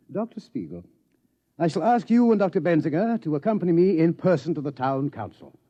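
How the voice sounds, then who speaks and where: A man's voice: a little high, big pitch swings, moderate pace, warm tone. An actor playing a formal, fussy male observatory director in a 1950s radio drama. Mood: pompous. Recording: muffled vintage radio recording.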